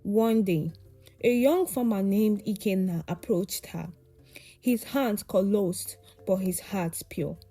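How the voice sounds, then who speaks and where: A woman narrates a folktale in a scripted storytelling style. Her pace is unhurried and her tone soft-edged and dark, at a middling pitch. Faint music plays behind her.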